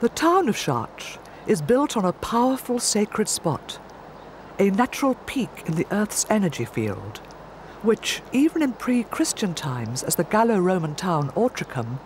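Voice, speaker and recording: Expressive woman narrating a documentary. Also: mid-range voice, measured pace, a little hiss or hum. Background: music.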